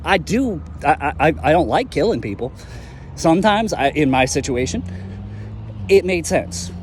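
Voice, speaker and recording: A man's voice: a little high, big pitch swings, moderate pace, slight background noise. A man voicing a stubborn young male hero who rants bluntly and swears. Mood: ranting. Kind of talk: fan audio drama acting.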